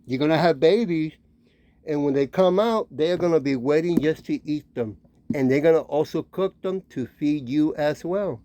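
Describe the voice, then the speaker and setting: A man giving an informal, admonishing sermon, talking conversationally with frequent checking tags and pausing to read scripture. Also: on the high side, dark tone. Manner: conversational, peppered with "right?" and "okay?" tags; admonishing, warning. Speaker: religious street-style preacher and teacher.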